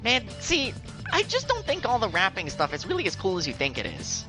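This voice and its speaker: An actor playing an excitable, worried, good-natured teenage boy who talks in exclamatory casual slang in a web audio drama. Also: high voice, a little fast, over music. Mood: exasperated.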